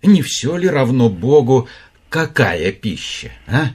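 A man's voice: medium pitch, big pitch swings, slow pace, a little mellow, breathy edge, exaggerated voice. An actor playing a respectful but doubtful man questioning a monk in a radio play. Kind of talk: radio drama acting.

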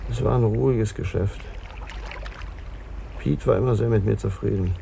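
An actor in a German radio crime drama playing a wounded, lonely Papuan man confessing with bitter resignation in a gravelly, subdued voice. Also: on the low side, normal speed, warm tone, a little hiss or hum. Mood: subdued confession.

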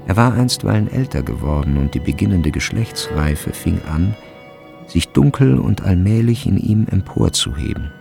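A man narrating literary prose in a radio drama, measured and reflective. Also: low voice, lively intonation, creaky. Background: music.

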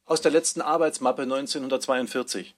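Male announcer, medium pitch, brief scripted section titles, music behind. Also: steady tone, a little fast. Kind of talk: short scripted radio play announcements.

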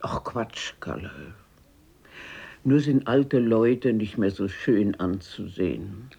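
An actress playing a blunt, fearless, warm-hearted old grandmother in a radio drama, brisk and outspoken, scolding yet affectionate. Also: extremely low pitch, creaky, breathy edge, muffled recording, exaggerated voice. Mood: frank. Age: older.

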